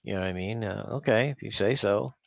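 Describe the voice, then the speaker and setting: A man's voice: lowish voice, fast, gravelly, no room sound, lo-fi sound. A man chatting as a guest on a casual live stream, rambling with lots of fillers. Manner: rambling, full of fillers and restarts.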